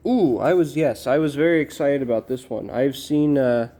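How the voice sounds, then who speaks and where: A man giving casual, halting unboxing commentary as a collector, musing to himself in a low-key way. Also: warm tone. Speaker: record collector presenting an unboxing.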